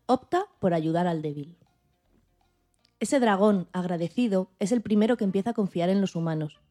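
Spanish woman from reading a story recap, mellow voice, bit of music behind. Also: medium pitch. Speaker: podcast host. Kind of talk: scripted narration of a story summary.

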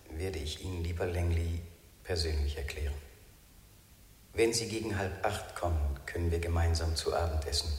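An actor playing a grave, reserved doctor in a 1970s radio play, with formal, measured, courteous delivery. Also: male voice, low-pitched, lightly acted. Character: grave, formal, reserved doctor reading his own letter. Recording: echoing letter voice with noise.

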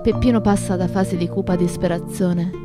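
An actress playing a reflective, grieving woman who narrates memories of a friend in a radio play, in a measured storytelling manner. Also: fairly low pitch, steady tone, music in the background, lightly acted. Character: reflective, grieving woman recalling her activist friend and his town.

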